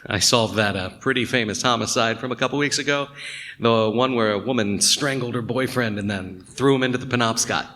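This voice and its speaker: A man playing a bright-voiced, wry noir detective. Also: medium pitch, fairly quick, natural voice. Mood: awkward. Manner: dry, hard-boiled storytelling. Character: male small-town police detective narrating, wry and brooding. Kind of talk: noir detective narration in an audio drama. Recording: clear background noise and ambience.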